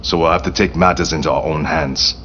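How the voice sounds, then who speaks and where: A man's voice: rumbling low, steady tone, brisk, fairly bright tone, highs cut off, a little hiss or hum. A man acting a proud, vengeful Japanese prince in a game cutscene, speaking formally and solemnly with grandiose command. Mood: indignant, resolute.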